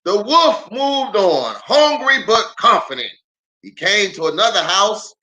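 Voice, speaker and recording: A man who is a pastor reading a children's story aloud in an animated, theatrical way with drawn-out emphasis. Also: high-pitched, rough recording.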